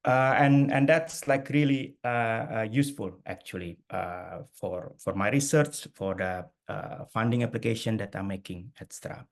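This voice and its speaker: A man's voice: lowish voice, normal speed, few pauses. A middle-aged man from Indonesia giving a webinar talk over a video call, with many fillers and restarts. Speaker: guest speaker. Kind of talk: webinar presentation.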